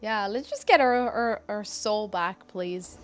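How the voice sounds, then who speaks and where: A woman's voice: mid-range voice, lively intonation, measured pace, faint music behind. A woman game streamer chatting casually and reactively to her live viewers over gameplay.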